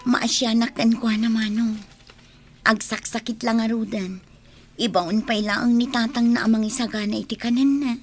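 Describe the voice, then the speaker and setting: Actress as high-voiced young Ilocano woman. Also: steady tone, moderate pace, faint music behind, lightly acted. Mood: concerned. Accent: Ilocano, northern Philippines. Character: friendly young village woman, younger sister being courted. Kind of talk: Ilocano radio drama acting.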